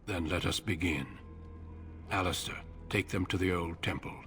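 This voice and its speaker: A grave warden commander. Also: male voice, deep voice, fairly even intonation, a bit bright, soft background music. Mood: stern. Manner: solemn, measured, authoritative.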